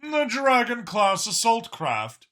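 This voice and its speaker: A man's voice: very high-pitched, wildly swooping pitch, takes it slowly, pushed and bright, pitched above the performer's own voice, heavily acted. A man voicing a proud, smug male military commander in an audiobook, with a drawling, boastful manner. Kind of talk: audiobook character dialogue.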